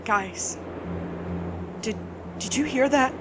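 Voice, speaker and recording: A woman's voice: high voice, slow, background noise, pitched above the performer's own voice. A woman acting a reluctant, sarcastic, spooked young ghost hunter in a horror story, exasperated in manner. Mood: alarmed.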